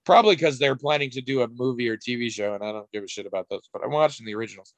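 A man's voice: medium pitch, rapid-fire, dry recording. A man co-hosting a podcast, chatting casually in a rambling, candid way and swearing now and then. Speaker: podcast co-host. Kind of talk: casual chat.

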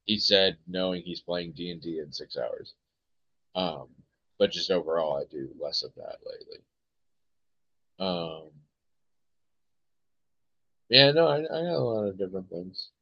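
An American man hosts a podcast in a loose, rambling way, stopping and restarting his sentences and filling pauses with ums. His voice sits on the low side, and the recording sounds dry and a little dull. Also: animated, bright, forceful tone, husky, no echo. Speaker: podcast host. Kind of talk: casual chat.

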